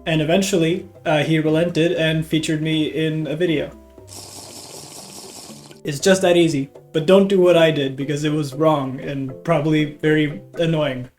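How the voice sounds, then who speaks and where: A man from Norway with a fairly high voice, slightly off from a cold, talks casually to his viewers. He is hesitant and pokes fun at himself, and guitar music plays behind him. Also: steady tone, moderate pace, darkish tone. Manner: hesitant, self-deprecating. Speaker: YouTuber host. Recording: voice over background guitar music.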